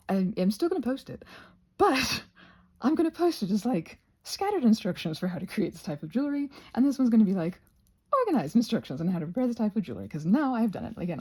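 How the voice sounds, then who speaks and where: A woman with a lively, swooping delivery and a slightly husky, creaky edge hosts a casual craft tutorial. She rambles chattily through her steps, drifting into playful, self-deprecating asides. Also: medium pitch.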